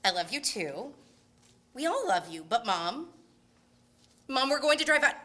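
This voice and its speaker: A woman plays a flustered, forgetful mom in a Christmas radio skit. Her voice is high and bright, swinging up and down as she placates and frets. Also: sing-song, a little slow, exaggerated voice. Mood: placating, flustered. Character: flustered, forgetful mother on a family road trip. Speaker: radio play actor.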